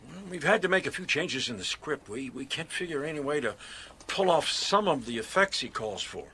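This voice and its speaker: Fussy tense stage director guy, highish voice, swings around a lot, radio drama, bit of background noise. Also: lively intonation, brisk, pushed and bright. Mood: harried. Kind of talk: radio mystery drama acting.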